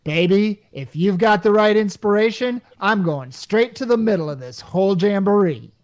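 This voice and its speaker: An actor playing a frantic everyman repairman and husband in a radio comedy, excitable, slangy and stammering. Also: sits high, moderate pace. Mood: resolute. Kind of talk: 1950s radio comedy acting.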